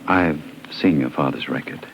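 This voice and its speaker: An actor playing an earnest, measured off-world scientist in a 1950s radio drama. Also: male voice, rumbling low, creaky, background noise. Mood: incredulous. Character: earnest off-world scientist in love, narrating his story. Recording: muffled old radio recording with loud music.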